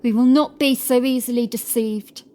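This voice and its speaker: A woman's voice: fairly high pitch, fairly even intonation, normal speed, mellow, lightly acted. A woman playing a scornful medieval inquisitor in a horror audiobook, mocking and accusing. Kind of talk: dramatised horror audiobook acting.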